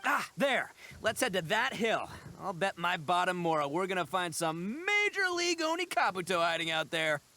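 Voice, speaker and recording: A man's voice: high-pitched, big pitch swings, normal speed, hardly any pauses, bright, forceful tone, heavily acted. A man voicing a boastful, boisterous young oni in a video game, with cocky, slangy, theatrical delivery and childlike enthusiasm. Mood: excited. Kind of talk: animated video game voice acting.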